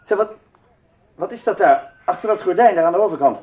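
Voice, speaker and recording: Brisk, lively man, muffled recording. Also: high-pitched. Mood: curious. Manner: brisk, bantering. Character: brisk, unafraid, teasing man, a journalist. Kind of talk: radio drama acting. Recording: muffled radio play recording, faint noise.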